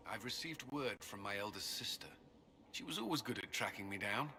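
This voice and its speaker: A man's voice: on the low side, animated, a little fast, pushed and bright, full character voice. An actor playing a guilt-ridden male templar commander in a video game, speaking solemnly and earnestly. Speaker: game character.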